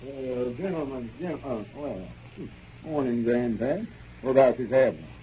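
An actor playing a stubborn, earnest small-town Southern businessman with a halting, folksy manner in 1930s radio comedy. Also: medium pitch, natural voice. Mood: contrite. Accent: rural Southern US. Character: proud, stubborn, conscientious small-town country businessman, a man. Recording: dull, noisy 1930s radio recording.

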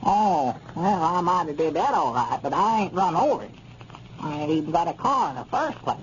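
A high-pitched, fussy old country storekeeper with a folksy Arkansas hill accent. He is literal-minded and crotchety with a soft heart, speaking in a muddled way. It is a dull, noisy 1930s radio comedy recording. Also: sing-song, normal speed. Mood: defensive, literal. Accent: rural Southern US, Arkansas hill country. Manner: folksy, flustered, muddled stammering.

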